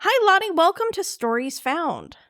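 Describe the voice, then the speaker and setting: A woman's voice: very high voice, sing-song, moderate pace, fairly bright tone. A woman hosting a podcast, with an enthusiastic, effusive and friendly way of talking. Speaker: podcast host. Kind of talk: scripted introduction and friendly interview.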